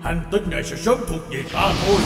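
A vengeful cartoon overlord out to conquer a planet. The voice is slow and gravelly, gloating with menace, and rings in a long cavernous reverb. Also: male voice, high voice, full character voice. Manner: menacing, gloating, drawn-out delivery. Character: vengeful alien villain plotting to conquer the planet. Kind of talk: Vietnamese cartoon dub acting. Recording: cavernous reverb under loud scary music.

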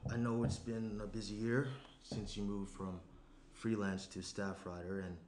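A young man playing an awkward, earnest professional fiancé at a table read, with a halting delivery. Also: lowish voice, little pitch movement, darkish tone, lightly acted. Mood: awkward, hesitant. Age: young adult. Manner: halting, hesitant, circling the point. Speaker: actor reading a lead part. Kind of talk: screenplay table read, naturalistic. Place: small indoor room around a table. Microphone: shared USB tabletop microphone. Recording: roomy echo, clear noise.

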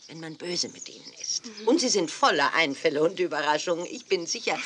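A woman's voice: low-pitched, animated, a little fast, background noise. An actress playing a kindly, instructive woman dog breeder in a 1980s children's audio play, explaining things expressively.

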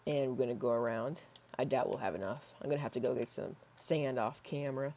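A hoarse young man in his teens rambling casually while playing a building game, with lots of fillers and soft mutters. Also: mid-range voice, a little fast, slight background noise. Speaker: gaming YouTuber. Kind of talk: casual gameplay commentary. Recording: slightly dull lo-fi mic.

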